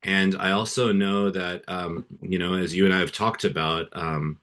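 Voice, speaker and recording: A man's voice: low voice, fairly even intonation, moderate pace, a bit bright. A middle-aged man hosting a podcast interview, speaking earnestly and hesitantly with many fillers and restarts. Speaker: podcast host.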